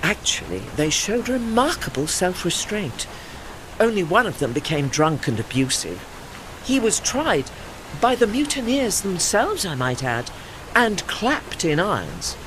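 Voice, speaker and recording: An actor voicing an old, learned, wistful retired warship with a formal, articulate, British way of speaking in an audio play. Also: male voice, high-pitched, sing-song, unhurried, background noise. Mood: measured, recounting. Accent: British English. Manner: formal, articulate, pedantic, apologetic. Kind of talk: audio drama dialogue.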